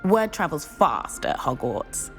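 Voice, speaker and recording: Thrill-seeking British teen witch for a game; playful, exclamatory, very animated. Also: low voice, slow pace, soft background music, heavily acted. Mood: chatty, amused. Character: bold, breezy, thrill-seeking teenage witch schoolgirl. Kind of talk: scripted video game dialogue.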